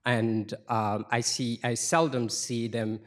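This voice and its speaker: A man from Guinea, a lawyer, asking a long, measured question into a handheld microphone, with many fillers along the way. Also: medium pitch, flat delivery. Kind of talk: audience question at a lecture. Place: lecture room, seated in the audience.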